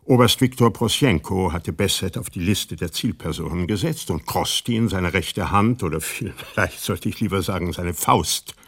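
A former embassy security chief tells the story in a radio play. His low voice is measured and world-weary, with wry, pointed pauses. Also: a bit bright, slightly rough, heavily acted. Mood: grave recounting. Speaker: narrator. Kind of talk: radio drama narration.

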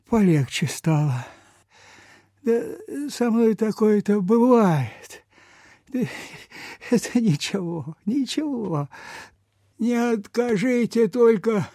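An actor playing a frail, humble old peasant beggar in a Soviet radio play, halting, deferential and folksy. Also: male voice, sits high, dark tone, no room sound, clean studio sound, exaggerated voice. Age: older.

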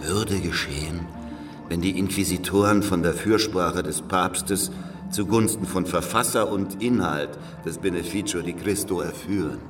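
An actor playing a worn, calculating older spy in a German historical radio drama, speaking in a grave, deliberate, conspiratorial way. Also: male voice, low-pitched, distant mic, music in the background, close to an everyday voice. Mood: urgent, knowing.

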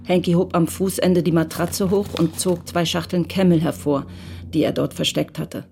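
A woman narrating a German radio play from a script in a measured, matter-of-fact way. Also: lowish voice, steady tone, gravelly, dark tone, slight background noise.

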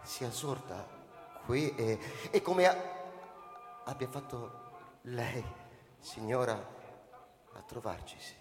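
An actor playing a courteous but flustered, doubtful spaceship commander in a radio drama, with an emphatic, hesitant manner. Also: male voice, medium pitch, animated, slow, pauses often. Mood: flustered, polite. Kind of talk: theatrical radio drama acting. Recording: roomy reverberant hall, music underneath.

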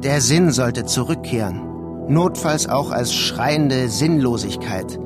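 An actor playing a brooding, detached narrator in a literary radio drama, speaking in a measured, sombre way. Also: male voice, mid-range voice, expressive. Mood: brooding, reflective. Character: brooding, detached man narrating a war-torn city. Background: music behind the voice.

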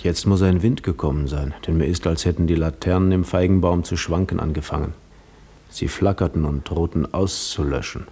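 An actor playing a weary, despairing wanderer telling his story in a brooding, halting, husky voice for a 1950s German radio drama. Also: sits low, steady tone, dark tone. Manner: brooding, halting, literary narration.

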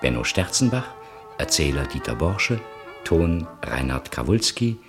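Very deep male radio announcer over music. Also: big pitch swings, measured pace. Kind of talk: formal scripted credits announcement. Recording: 1960 radio broadcast over violin music. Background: violin music.

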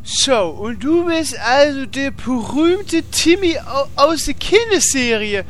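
Very slow, high-voiced dim warrior. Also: male voice, animated, noisy background, full character voice. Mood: proud, simple. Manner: halting, odd way of speaking. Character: slow-witted big boastful male warrior Horst. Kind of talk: parody fantasy audio drama.

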